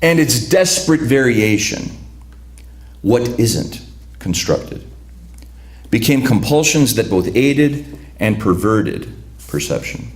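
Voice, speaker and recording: A man's voice: mid-range voice, lively intonation. A man reading aloud from his own prose at a literary event, speaking reflectively and often restarting his sentences. His first language is Lithuanian. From United States, raised among Lithuanian migrants. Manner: reflective, halting with repeated restarts. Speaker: author.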